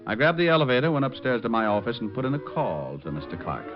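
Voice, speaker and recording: A man's voice: mid-range voice, lively intonation, fast talker, close to an everyday voice. Wry male private detective, played by an actor, sardonic, varied intonation, old radio crime show with music behind, muffled. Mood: narrating, wry. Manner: laid-back, sardonic. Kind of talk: 1940s-50s radio detective drama, dialogue and narration.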